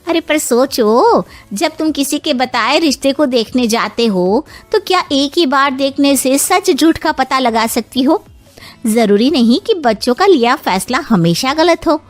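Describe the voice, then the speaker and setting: Casting: woman as sensible middle-aged cartoon friend, highish voice, persuasive, faint music behind. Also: lively intonation, measured pace. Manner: reasoning, persuasive. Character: sensible, open-minded middle-aged friend of a mother-in-law. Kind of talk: animated story dialogue.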